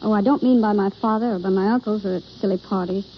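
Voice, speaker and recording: A woman's voice: medium pitch, fairly even intonation, fast, natural voice. An actress playing a shy, earnest young woman yearning for romance in 1930s radio drama style, confiding and hesitant. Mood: earnest, wistful. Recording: scratchy, muffled old radio broadcast.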